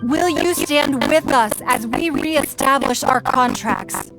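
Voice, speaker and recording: A woman playing a composed, stately ruler in a video game, giving a measured, formal speech to her people. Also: sits high, lively intonation, poor audio. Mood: solemn, resolute. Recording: game music behind the voice.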